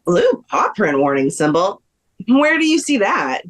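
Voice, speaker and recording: A woman's voice: mid-range voice, sing-song, a little slow. A woman playing a polite, helpful tour guide in a scripted table read. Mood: puzzled.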